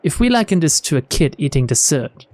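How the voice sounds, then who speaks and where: A man's voice: on the high side, sing-song, a little fast, a little mellow, no echo. A man hosting a game playthrough, reading the text aloud and adding casual commentary. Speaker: let's play host. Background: game music.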